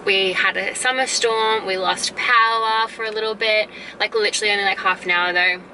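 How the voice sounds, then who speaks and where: A woman's voice: mid-range voice, steady tone, normal speed, pushed and bright, far from the mic, slight background noise, rough recording. An Australian woman vlogger catching her viewers up in rambling, casual chat, her voice congested from a head cold and cough. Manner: rambling and chatty, full of "um" and "like".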